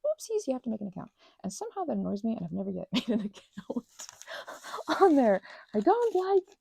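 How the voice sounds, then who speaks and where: A woman chats quietly while she works on a craft, drifting from tangent to tangent and breaking off mid-sentence. Her voice is dark, mellow and heavily gravelly, caught close on a dry mic with craft clicks behind. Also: a little high, very animated, a little fast, no echo. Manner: meandering, self-interrupting, soft whispers and mild exclamations. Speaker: ASMR creator. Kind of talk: soft rambling ASMR craft chat.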